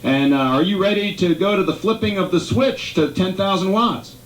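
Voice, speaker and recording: A man's voice: high-pitched, normal speed. A man speaking as a radio station manager, making a live ceremonial announcement. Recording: echoey room.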